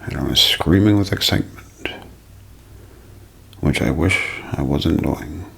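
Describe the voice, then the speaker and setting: A man giving a scripted, dramatic ASMR mystery narration in a gravelly, husky voice that often sinks toward a whisper, with deliberate storyteller phrasing. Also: very deep voice, fairly bright tone.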